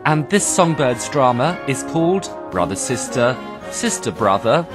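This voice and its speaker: Radio presenter, scripted, explanatory, animated pitch swings, repeated key phrases, music behind. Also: measured pace. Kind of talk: scripted introduction for English learners.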